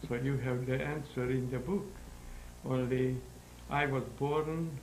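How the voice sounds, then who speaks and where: A man in his nineties with a Hungarian accent, giving halting, deliberate interview answers about his own life in non-native English. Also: mid-range voice, steady tone, a little mellow. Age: 90s. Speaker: interview guest, sculptor. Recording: roomy echo, faint background noise.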